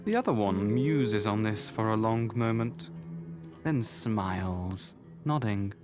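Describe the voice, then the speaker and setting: A man performing solemn, deliberate second-person narration for a dark fantasy visual novel. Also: fairly low pitch, expressive, music in the background. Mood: measured. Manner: deliberate, literary.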